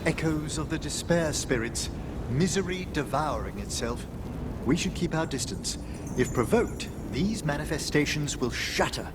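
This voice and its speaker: A man's voice: on the high side, lively intonation, normal speed, heavy background noise, lightly acted. An actor playing a courteous, scholarly necromancer professor in a video game, a middle-aged man with formal, eloquent speech. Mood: gentle, polite. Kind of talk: video game character acting.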